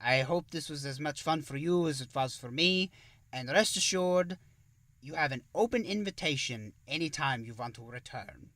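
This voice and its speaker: A man's voice: on the high side, animated, normal speed, fairly bright tone, no echo, far from the mic. A man playing a genial, self-important thousand-year-old vampire who hosts an interview show in a theatrical, effusive manner. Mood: grand, grateful. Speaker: show host. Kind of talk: in-character interview hosting.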